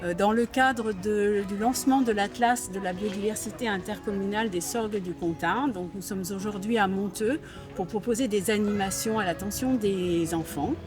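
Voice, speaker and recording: A woman volunteering at an outdoor stand, presenting children's activities in a friendly, halting way. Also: medium pitch, over music. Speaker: volunteer at a bird-protection stand.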